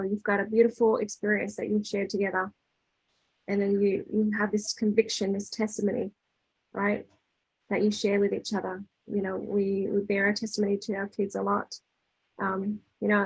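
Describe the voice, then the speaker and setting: A woman speaks in a mid-range voice with a slightly husky edge, recorded close and dry. She leads a spiritual talk in a casual, conversational way, slipping into bits of dialogue and often checking that listeners follow. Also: medium pitch, dry recording, low-quality recording. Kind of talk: casual inspirational talk with anecdotes.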